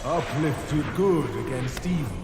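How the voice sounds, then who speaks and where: An actor playing a majestic, solemn Buddha deity in a game, speaking in a stately, ceremonial proclamation. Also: male voice, fairly high pitch, measured pace, natural voice. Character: majestic male Buddha deity, solemn, all-powerful, benevolent. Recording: vast echoing hall with music.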